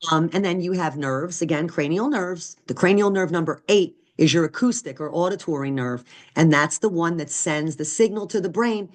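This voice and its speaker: A woman with a deep voice giving a recorded nursing lecture, conversational and often checking in with "right?" and "okay?". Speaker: nursing instructor.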